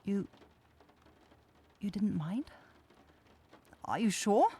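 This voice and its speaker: A woman's voice: mid-range voice, lively intonation, very slow, mellow, slightly rough, full character voice. A woman voicing a caring young forest witch in a fantasy ASMR roleplay, nervous, rambling and apologetic. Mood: shy, flustered.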